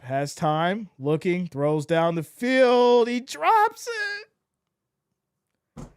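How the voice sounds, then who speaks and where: A man doing live fan play-by-play of a football game, excitable and losing his voice, swinging from shouts to deflated mutters. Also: sits high, smooth, dry recording, clean studio sound.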